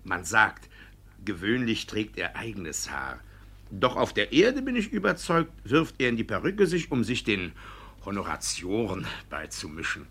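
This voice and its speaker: An actor playing a shifty, blustering village judge who evades and protests, in a classic comedy radio play. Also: male voice, lowish voice, wildly swooping pitch, measured pace, a bit bright, slightly rough, heavily acted. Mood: shifty, evasive. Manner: evasive, indignant, blustering. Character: shifty, blustering village judge hiding his own guilt.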